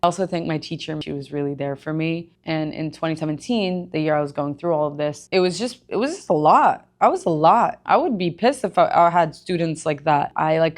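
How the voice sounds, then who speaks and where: Low-voiced young woman telling her story. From Egypt. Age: young adult. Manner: candid, conversational, with emphatic asides. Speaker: gym owner and personal trainer. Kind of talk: personal storytelling vlog.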